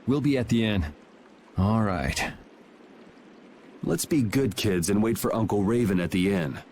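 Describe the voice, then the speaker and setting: A man's voice: fairly low pitch, a little slow, darkish tone, a little hiss or hum, close to an everyday voice. A man playing a laid-back young swordsman in a video game, speaking in a dry, matter-of-fact way. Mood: calm, wry.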